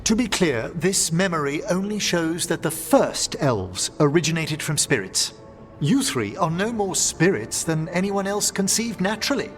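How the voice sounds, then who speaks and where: Curious, erudite game scholar, acted, precise and formal, highly animated intonation. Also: normal speed, faint music behind, natural voice. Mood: explanatory. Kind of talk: video game voice acting.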